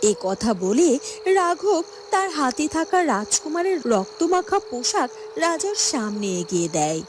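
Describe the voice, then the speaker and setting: A woman narrates a scripted fairy-tale cartoon in a high voice. Her pitch moves in lively swoops, and there is faint noise behind her. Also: a little slow.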